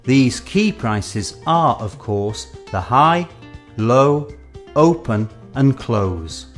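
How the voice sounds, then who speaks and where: A man reads scripted educational narration in a measured, explanatory way, drawing out the ends of his phrases. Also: mid-range voice, expressive. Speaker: presenter of an educational film. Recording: music under the voice.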